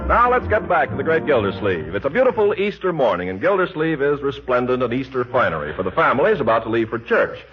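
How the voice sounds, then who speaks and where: A man's voice: medium pitch, sing-song, quick pace, few pauses, faint music behind. A man working as a 1940s radio announcer, delivering scripted narration and advertising in an earnest, emphatic way. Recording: dull 1940s radio recording.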